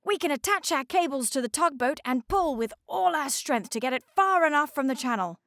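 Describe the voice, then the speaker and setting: A woman's voice: high-pitched, expressive, a little fast, a bit bright, no echo, clean studio sound, pitched above the performer's own voice. A woman voicing a practical, cooperative icebreaker ship in a children's storybook. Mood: practical, keen.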